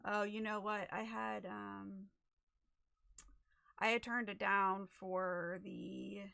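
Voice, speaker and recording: A woman chatting casually as she sets up a livestream, a little raspy and losing her voice, rambling with many fillers into a desk microphone. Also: medium pitch, little pitch movement, slow pace, bright, forceful tone. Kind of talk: casual chat while setting up a stream.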